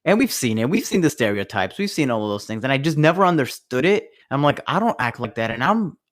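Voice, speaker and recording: A man who grew up in Italy with Italian as his first language, co-hosting a podcast and telling stories in a lively way, laughing as he goes. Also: medium pitch, fast talker. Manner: animated storyteller, laughs while telling stories. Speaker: podcast co-host. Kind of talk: casual chat.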